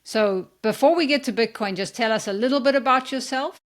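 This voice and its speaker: Woman host asking steady questions. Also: on the high side, fairly quick. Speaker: podcast host. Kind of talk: podcast interview.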